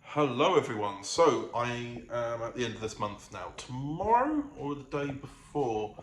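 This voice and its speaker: A man's voice: medium pitch, sing-song, unhurried. Man vlogging casually in an echoey room. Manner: hesitant, self-correcting, trailing off. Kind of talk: casual rambling vlog chat. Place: living room of own apartment. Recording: echoey room with faint ambient noise.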